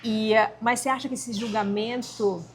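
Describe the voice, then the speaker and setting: A woman's voice: fairly high pitch, fairly even intonation, moderate pace. Informal Brazilian woman interviewer, some background noise. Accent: Brazilian Portuguese. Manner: informal, reactive, chiming in with short comments. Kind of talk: casual chat interview.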